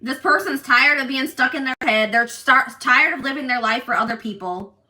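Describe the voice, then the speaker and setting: A woman doing a casual tarot reading for her viewers, talking in an assertive, loud way with lots of restarts and "like". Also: high voice, moderate pace, rough recording. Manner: animated, many restarts and fillers. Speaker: tarot reader.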